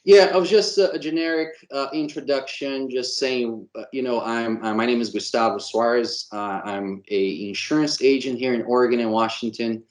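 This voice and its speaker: A man's voice: mid-range voice, normal speed, few pauses, echoey room. A man from Brazil whose first language is Portuguese, an insurance agent answering interview questions with many fillers and restarts, speaking with a Brazilian Portuguese accent.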